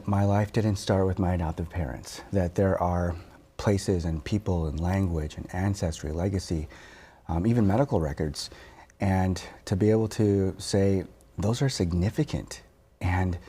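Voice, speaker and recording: A man, a therapist and author, speaking softly and reflectively as a TV interview guest, pausing often with fillers. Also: deep voice, breathy edge. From born in Korea, raised in Wisconsin. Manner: soft-spoken, reflective, halting with fillers.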